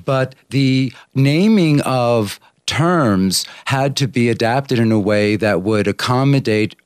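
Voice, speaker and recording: A man whose first language is Greek, a yoga teacher talking at length in an interview about anatomy and yoga with a measured, explanatory manner. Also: medium pitch, expressive, clear, resonant tone, dry recording, clean studio sound. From Greek family. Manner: measured, explanatory, with many uh fillers.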